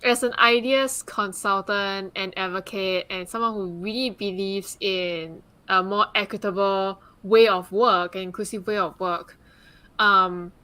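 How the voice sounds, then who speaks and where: A woman's voice: mid-range voice, steady tone, unhurried, sharp tone, clear, resonant tone. A woman from Singapore speaking earnestly as a guest on a live panel discussion, with frequent "like" and "um" fillers. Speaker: guest speaker, consultant and advocate.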